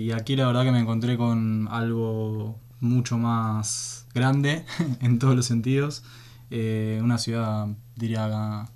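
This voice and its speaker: A man from Buenos Aires with an Argentine accent, a journalist guest answering radio interview questions in a relaxed way, thinking aloud. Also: mid-range voice, clear, resonant tone.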